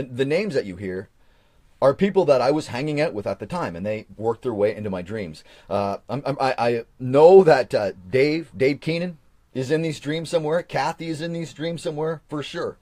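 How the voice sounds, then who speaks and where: A man's voice: a little high, a little mellow, dry recording. A man in his forties chatting casually on a live stream, reading from an old journal and rambling with frequent restarts. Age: 40s.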